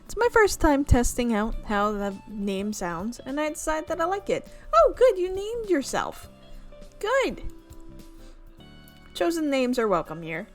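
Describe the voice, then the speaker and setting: A woman's voice: high-pitched, sing-song, unhurried, soft background music. A young woman reading a visual novel aloud, breaking off for chatty, amused asides about the script. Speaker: visual novel let's player. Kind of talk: reading a game aloud with casual commentary.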